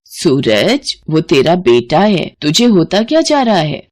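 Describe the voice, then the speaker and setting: A woman's voice: fairly low pitch, sing-song, takes it slowly, crowd noise. A woman voicing an elderly Indian mother-in-law in a family storybook tale, firm and plain-spoken, stern but protective. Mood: shocked, scolding.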